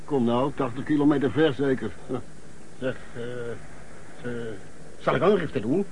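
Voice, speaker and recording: A man's voice: medium pitch, unhurried, darkish tone, a little hiss or hum, natural voice, rough recording. An actor playing a baffled, indignant man in a radio play, muttering hesitantly in colloquial speech. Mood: indignant, puzzled. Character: baffled, indignant male car owner, Egon.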